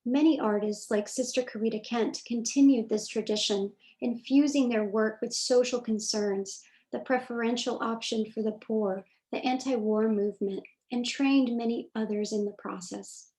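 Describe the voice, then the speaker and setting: A woman's voice: fairly high pitch, steady tone, warm tone, poor audio. Woman moderator reading a formal introduction. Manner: reads from a script in measured, formal phrasing. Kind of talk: scripted introduction for a virtual talk. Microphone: video call.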